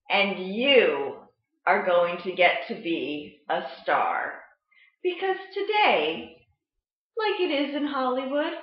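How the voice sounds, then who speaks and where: Animated American woman, slow storytime delivery. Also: high voice. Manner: slow, deliberate, animated explaining to children. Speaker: children's library storytime presenter. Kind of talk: sensory storytime lesson for children. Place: indoor room at home, seated at a table. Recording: muffled webcam sound in echoey room.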